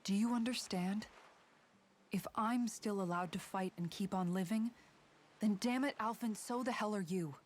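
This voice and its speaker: An actress playing a frank, fiercely supportive young fantasy fighter in a video game, earnest and firm. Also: female voice, medium pitch, moderate pace, lightly acted. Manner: earnest, firm, plain-spoken. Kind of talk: dramatic video game voice acting.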